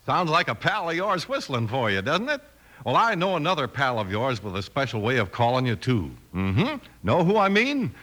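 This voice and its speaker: A chummy American man pitches to children in an old radio commercial. His mid-range voice is bright and extremely animated, coaxing with big swoops. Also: normal speed, slight background noise. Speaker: commercial announcer. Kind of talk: 1950s radio cereal commercial, addressing children.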